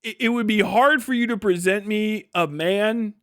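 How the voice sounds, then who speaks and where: High-voiced man on a crude livestream rant. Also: normal speed, fairly bright tone, clear, resonant tone, no echo, clean studio sound. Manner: crude, profane, mocking storytelling; laughs often while talking. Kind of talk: casual unscripted livestream commentary.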